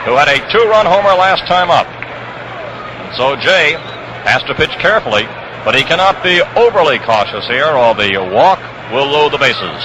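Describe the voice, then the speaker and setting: An American man calling baseball play-by-play on 1960s radio, measured, with suspenseful pauses, on a noisy old broadcast recording. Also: on the high side, big pitch swings. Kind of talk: 1960s radio sports commentary. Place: ballpark broadcast position. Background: crowd hum, noise.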